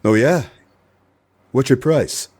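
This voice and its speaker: A man's voice: mid-range voice, slow pace, a little mellow. An actor playing a wry, wisecracking hard-boiled male detective in a noir radio play, both narrating and in dialogue. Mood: teasing, friendly.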